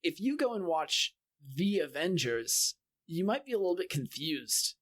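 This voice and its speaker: A man's voice: on the high side, very animated, moderate pace, a bit bright. A man co-hosting a podcast, chatting casually and explaining his opinions with some swearing. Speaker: podcast co-host.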